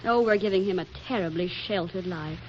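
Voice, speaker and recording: An actress playing a brisk, wry young housewife and devoted mother in a 1940s radio comedy, with a theatrical delivery. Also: fairly low pitch, a little mellow, close to an everyday voice. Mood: brisk, curious. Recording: dull, faintly noisy 1940s radio recording.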